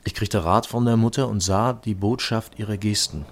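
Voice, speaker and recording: A brooding male lover played by an actor speaks in a low voice, in short poetic fragments. It is literary radio drama about memory and intimacy, with faint noise behind the words. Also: moderate pace, lightly acted. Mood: reflective. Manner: short clipped poetic phrases. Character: brooding male lover recalling childhood and family.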